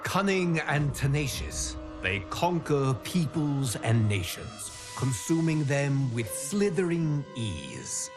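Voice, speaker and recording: An actor playing a solemn, stern guardian of timelines, narrating a fighting video game's story in a formal, measured way. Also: male voice, a little high, big pitch swings. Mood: ominous, urgent. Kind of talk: scripted dramatic narration. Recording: clear music under the voice. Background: background music.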